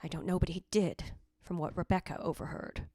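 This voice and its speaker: An actress playing a stern, strained but composed elder sister in an old-time radio gothic drama, controlled and reproving. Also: female voice, lowish voice, fairly even intonation, fairly quick, mellow, no echo, clean studio sound. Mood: stern, guarded.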